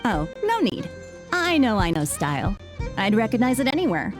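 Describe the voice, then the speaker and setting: Casual, confident young game smith, high and expressive, music under her. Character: easygoing, confident young woman blacksmith-researcher in fantasy game. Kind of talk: scripted game dialogue. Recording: music behind the voice.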